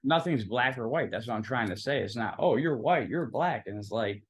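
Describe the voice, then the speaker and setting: A man's voice: mid-range voice, lively intonation, moderate pace, slightly rough. A young man from Philadelphia whose first language was Italian, speaking as an interview guest in a loose, rambling way with many fillers. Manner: rambling, filler-heavy, storytelling. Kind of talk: casual interview conversation.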